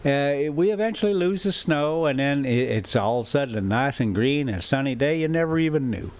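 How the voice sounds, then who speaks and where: A man reading a classic adventure story aloud as a storyteller, in deliberate, halting phrases with an informal touch. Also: mid-range voice, expressive. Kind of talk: audiobook-style reading with casual asides.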